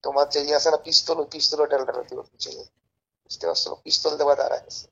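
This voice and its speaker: A Bangladeshi man making an informal phone sales pitch in a pushy, persuasive, repetitive way. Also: steady tone, racing, creaky, sharp tone, husky, muffled recording. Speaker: caller pitching a service as a tout.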